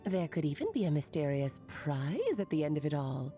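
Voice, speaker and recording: A woman's voice: low-pitched, big pitch swings, normal speed, warm tone, soft background music. A woman voicing a playful, theatrical witch aunt who teases fondly and laughs between her lines. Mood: knowing.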